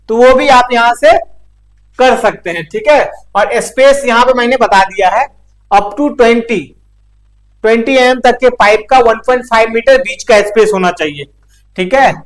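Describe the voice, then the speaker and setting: A man's voice: very high voice, lively intonation, normal speed, rough recording. A man giving an informal practical technical lecture, explaining step by step with frequent check-in tags. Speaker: instructor.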